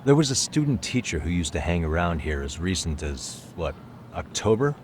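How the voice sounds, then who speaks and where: A man's voice: deep voice, very animated, moderate pace, slight background noise. A man playing an easygoing, wry private detective in a video game, speaking in a casual, dry way. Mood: friendly, probing. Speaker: detective character. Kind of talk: English game voice acting. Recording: loud music behind the voice. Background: background music.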